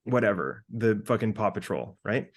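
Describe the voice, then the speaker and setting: Low-voiced man chatting casually, thinking aloud. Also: moderate pace. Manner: animated, sweary, thinking aloud. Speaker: podcast co-host. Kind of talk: casual conversational monologue with hypothetical examples.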